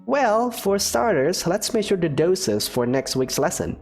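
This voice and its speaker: A woman's voice: lowish voice, sing-song, a little slow, a little mellow, soft background music, voice pushed up. A woman voicing a gracious female professor who speaks in an encouraging, lilting way. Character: warm, genteel female professor, expecting mother.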